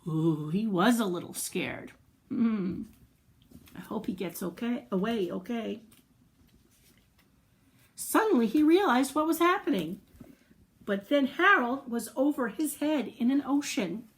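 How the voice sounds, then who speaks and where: A woman's voice: on the high side, animated, measured pace, pauses often. A woman reading a picture book aloud to young children, expressively, with playful asides, questions and little sound effects. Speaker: children's storyteller.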